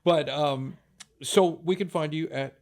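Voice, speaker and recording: Gravelly easygoing radio host man. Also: fairly high pitch, darkish tone. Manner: easygoing, chatty, quick encouragements. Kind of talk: casual radio interview.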